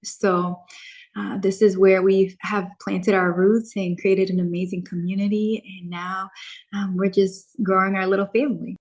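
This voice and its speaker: A woman in her thirties from Chicago, a guest on a podcast, speaking calmly and reflectively with frequent ums. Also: mid-range voice, moderate pace. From Chicago, USA. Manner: calm, reflective, with frequent um and soft laughs. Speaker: podcast guest, content creator and author. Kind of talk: casual interview chat.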